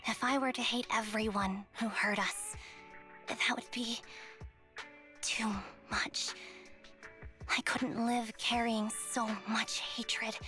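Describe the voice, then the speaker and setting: An actress playing a remorseful young woman soldier and former squad captain in a video game, speaking softly and haltingly with music behind her. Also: on the high side. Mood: reflective, sad. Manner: soft, measured, halting, subdued. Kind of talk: dramatic video game voice acting.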